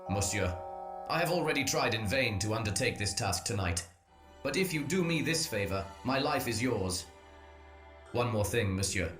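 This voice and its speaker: A man's voice: fairly low pitch, expressive, moderate pace, lightly acted. A man playing an earnest, desperate young French nobleman in an audio drama, formal and urgent in his speech. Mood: desperate, pleading. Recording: echoey, roomy sound with music.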